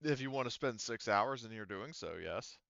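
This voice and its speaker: A man narrating a tabletop roleplaying game as game master in a casual, halting, explanatory way. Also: mid-range voice, lively intonation, creaky, a bit bright, no room sound, muffled recording.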